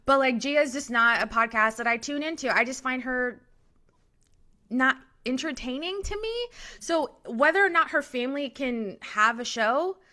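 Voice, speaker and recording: Bright-voiced chatty woman podcaster. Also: high voice, expressive, moderate pace. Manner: chatty, opinionated, restarts and fillers. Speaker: podcast co-host. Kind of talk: casual reality TV gossip chat.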